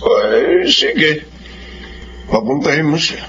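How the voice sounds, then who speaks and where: A man's voice: fairly high pitch, wildly swooping pitch, slow, vocal fry, a bit bright, soft background music, heavily acted. A man acting a hospitable, concerned middle-aged uncle in a Filipino radio drama. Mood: welcoming, frank. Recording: dull lo-fi audio with faint noise.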